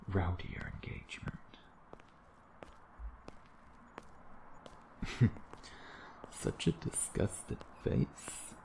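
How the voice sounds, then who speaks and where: Man acting a gravelly, low-voiced smug male vampire. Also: noisy background. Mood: teasing, wry. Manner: teasing, sardonic, drawling. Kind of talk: intimate ASMR roleplay acting.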